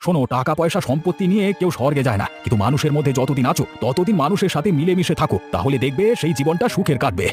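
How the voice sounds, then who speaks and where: Man playing a rapid-fire, frank village helper. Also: fairly high pitch, animated, darkish tone, faint music behind, natural voice. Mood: frank advice. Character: helpful, frank village man, kindly scolding. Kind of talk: Bengali cartoon story voice acting.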